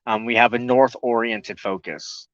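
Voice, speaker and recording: A man giving an informal spoken teaching with personal reminiscence, halting, with frequent pauses and throat clearing. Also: medium pitch, fairly even intonation, a bit bright. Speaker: host of a spiritual broadcast. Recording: muffled, echoey room recording.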